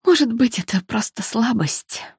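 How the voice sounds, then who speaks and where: A woman narrator voicing a quiet, fragile, melancholy woman from a novel, speaking hushed, hesitant and slow. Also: a little high, lively intonation, slightly rough, no room sound, studio quality, full character voice. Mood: hesitant, sad.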